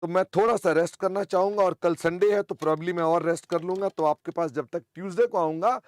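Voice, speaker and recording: Hoarse, throat-clearing older male teacher. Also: high voice, brisk, no echo. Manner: chatty, teasing, frequent throat clearing. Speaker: accounting teacher. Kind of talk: online class lecture.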